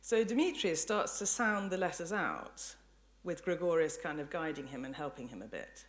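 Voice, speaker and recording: Woman lecturing, low measured delivery. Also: lively intonation. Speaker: professor giving a public lecture. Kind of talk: lecture with illustrative storytelling.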